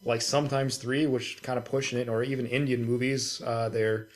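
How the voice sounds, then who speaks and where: A man's voice: mid-range voice, level tone, moderate pace. Blunt young man on a gaming podcast. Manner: blunt, opinionated, freely swearing. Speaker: podcast co-host. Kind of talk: casual gaming podcast chat.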